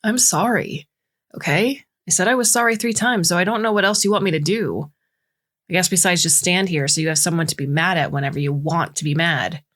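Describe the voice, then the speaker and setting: A woman's voice: low voice, steady tone, fast talker, few pauses, a bit bright. A woman voicing a defensive, exasperated boyfriend in a lovers' quarrel, arguing colloquially in dramatized story dialogue. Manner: argumentative, colloquial.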